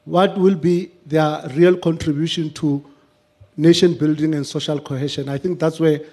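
Mellow, higher-pitched South African man. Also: steady tone, normal speed. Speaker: government representative on a panel. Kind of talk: measured panel remarks.

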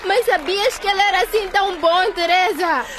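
A woman's voice: very high-pitched, moderate pace, crowd noise. A woman playing a friendly, considerate teenage girl in a radio drama, with a conversational delivery. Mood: friendly, curious.

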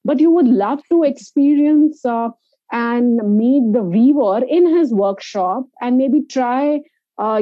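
A woman with an Indian accent giving explanatory, halting conversational answers as an interview guest. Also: fairly high pitch, no echo, narrow, tinny audio. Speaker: interview guest, inclusive travel organiser.

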